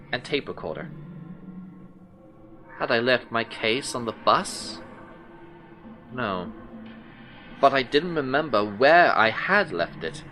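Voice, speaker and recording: An actor playing an intent, unsettled male detective, recounting his case in brooding, deliberate first-person audio drama narration. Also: medium pitch, sharp tone.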